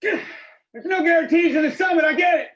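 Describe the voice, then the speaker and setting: An actor playing a desperate, exhausted climber in danger in a tense film drama. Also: male voice, extremely high pitch, measured pace, a bit bright, echoey room, dull audio. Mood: angry.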